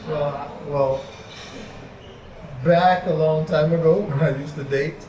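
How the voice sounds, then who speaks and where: Man from the US, slightly high voice, loose rambling chat, roomy echo, noisy background. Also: slow, muffled recording. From United States. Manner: animated storyteller, repeats fillers like "like". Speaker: interview guest. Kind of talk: casual chat. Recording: echoey room with background noise.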